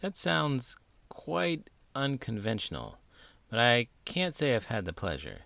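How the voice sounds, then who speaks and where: A man's voice: medium pitch, huge pitch swings, unhurried, vocal fry, a bit bright, dry recording. A man hosting a children's storybook read-aloud, theatrical and full of big emotion, stretching words for effect. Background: music.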